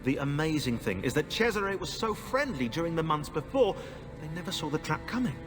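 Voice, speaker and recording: Eager history buff, casual storytelling, very varied intonation, reverberant sound. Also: male voice, on the high side, a little fast, over music. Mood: enthusiastic. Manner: animated, informal. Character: chatty, eager history-buff man telling stories. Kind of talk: casual conversational game acting.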